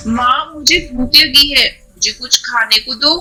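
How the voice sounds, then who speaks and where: Woman as whining, bright-voiced little girl bird. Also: high-pitched, a little slow, full character voice. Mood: whiny, hungry. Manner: whining, pleading. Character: small hungry girl bird, whining younger daughter. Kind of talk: Hindi children's cartoon voice acting.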